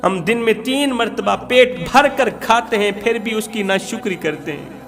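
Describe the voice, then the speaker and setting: A man's voice: sits high, a little slow, gravelly. A man with a Pakistani accent preaches, telling a moral tale in a dramatic, declamatory sermon style with emphatic repetition. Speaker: preacher and religious storyteller. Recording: long hall reverb with music.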